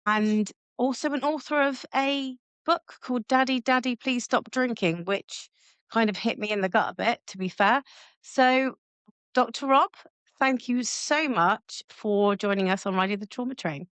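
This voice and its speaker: A woman's voice: a little high, measured pace, fairly bright tone, clear, resonant tone, no room sound. Earnest woman hosting a podcast. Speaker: podcast host. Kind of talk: podcast intro and interview.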